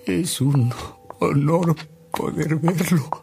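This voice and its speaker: A man's voice: a little high, gravelly, over music, exaggerated voice. An actor with a Mexican accent playing a fond, amused storyteller who savors his memories in a radio drama. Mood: nervous, respectful. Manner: unhurried, savoring anecdotes, chuckling delivery. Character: Don Alberto, fond, amused old storyteller reminiscing about a bishop.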